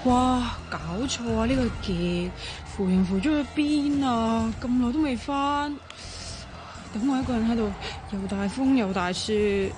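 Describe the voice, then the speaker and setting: Actress as cheeky high-voiced Cantonese girlfriend. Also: unhurried, background noise, natural voice. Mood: grumbling worry. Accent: Hong Kong Cantonese. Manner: teasing, petulant. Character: cheeky, grumbling young girlfriend on holiday. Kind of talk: radio drama acting.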